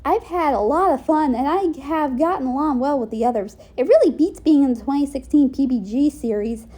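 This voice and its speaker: A woman's voice: sits high, a little fast, dark tone, natural voice. A woman voicing a brash, cocky male cartoon gang leader.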